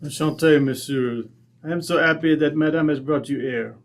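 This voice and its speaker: A man playing a proud, courteous French viscount with a French accent and halting, formal English in a radio drama. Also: on the high side, slight background noise, natural voice. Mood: charming, courtly. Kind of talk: old-time radio drama acting.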